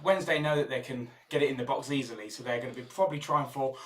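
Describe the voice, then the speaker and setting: A man's voice: mid-range voice, fast talker, recorded from a distance. A man, a nervous co-host fan who pleads with his team. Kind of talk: anxious fan chat. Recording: roomy, off-mic.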